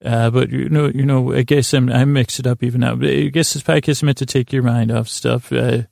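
A man with a creaky voice hosting a bedtime podcast, rambling in a meandering, halting and repetitive way to help listeners fall asleep. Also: mid-range voice, steady tone, darkish tone, dry recording, clean studio sound. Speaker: podcast host.

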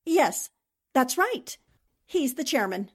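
Woman acting an anxious, high-pitched countess spy. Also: very animated, exaggerated voice. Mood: flustered. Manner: halting, pleading, theatrical. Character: anxious, defensive countess, a Nazi spy impostor. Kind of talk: 1940s radio drama acting.

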